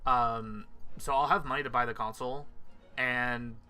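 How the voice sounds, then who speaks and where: Bright-voiced man chatting, swears casually. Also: medium pitch, fairly even intonation, moderate pace, clear, resonant tone. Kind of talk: casual group chat on a livestream. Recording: music playing behind the voice. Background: background music.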